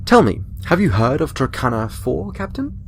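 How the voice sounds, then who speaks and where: An actor playing a genial senior admiral in a science fiction audio drama, measured and formal, sounding a little away from the mic. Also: male voice, mid-range voice, big pitch swings, creaky, far from the mic, a little hiss or hum. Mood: formal, briefing. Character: genial, senior male admiral, chatty then businesslike.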